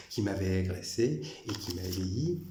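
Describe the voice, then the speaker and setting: A man's voice: sits low, animated, measured pace, roomy sound, noisy background. A man from France giving a rambling, insistent monologue to camera, repeating and restarting his phrases as he recounts his grievances. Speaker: former prison guard recounting grievances.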